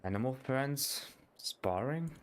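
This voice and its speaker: A man commenting casually while playing through a game, making quiet, wry asides to himself. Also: mid-range voice, animated, slow. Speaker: gamer playing through the quest. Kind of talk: casual commentary over gameplay. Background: game music.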